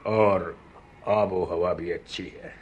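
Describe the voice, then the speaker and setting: An actor playing a worried older king giving orders in a radio drama, deliberate, hesitant and authoritative. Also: low voice, fairly even intonation, lo-fi sound. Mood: grave, commanding. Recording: slightly noisy radio play recording.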